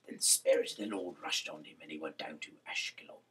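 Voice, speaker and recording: A man reading scripture aloud as an amateur, in a breathy, gravelly voice with a halting, stumbling delivery. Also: a bass voice, a bit bright, far from the mic. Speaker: reader, host of a Bible-reading series.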